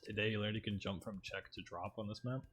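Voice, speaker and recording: Man, lowish voice, video game streamer, casual slangy commentary, chatting to viewers, music in the background. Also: steady tone, quick pace, fairly bright tone. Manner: informal, slangy. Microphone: streaming microphone. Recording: music playing behind the voice.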